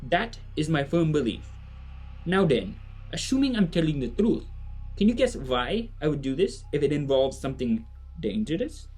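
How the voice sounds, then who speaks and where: An actor playing a kindly, earnest old mentor in a family audio drama, speaking in formal, deliberate phrases. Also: medium pitch, very animated, a little mellow, breathy edge, faint music behind. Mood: earnest, measured.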